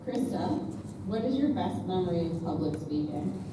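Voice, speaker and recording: A woman's voice: fairly low pitch, lively intonation, normal speed. A woman interviewer asking direct, friendly questions. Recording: echoey room, distant mic, noisy.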